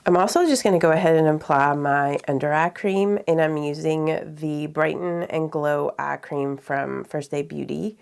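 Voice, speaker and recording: A young woman with a stuffy, nasal head cold talks through her makeup in a rambling way, full of fillers. Her voice sits low and has a gravelly fry to it. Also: normal speed, hardly any pauses, a little mellow. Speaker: beauty vlogger. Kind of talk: casual get-ready-with-me chat.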